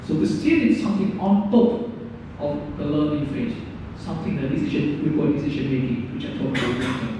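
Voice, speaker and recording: A man from Hungary giving a technical lecture as guest speaker, hesitant and repeating words, with animated emphasis. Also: on the high side, brisk, hardly any pauses. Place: lecture room. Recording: echoey hall, distant mic, dull sound, background noise.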